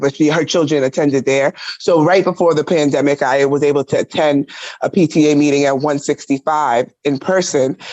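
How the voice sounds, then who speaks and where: A middle-aged woman from New with a slightly raspy voice, giving an animated, enthusiastic public comment at a school council meeting over a video call. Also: fairly low pitch. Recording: dull lo-fi video call audio.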